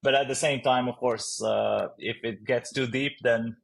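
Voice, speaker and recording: A man's voice: mid-range voice, fairly even intonation, unhurried, gravelly. A man from Latvia with a Latvian accent, a startup founder answering interview questions hesitantly with many fillers and easy laughs.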